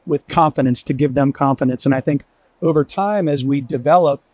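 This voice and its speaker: A man speaking as a guest on a roundtable panel, thoughtful and deliberate. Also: fairly high pitch, darkish tone, dry recording. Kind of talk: panel discussion.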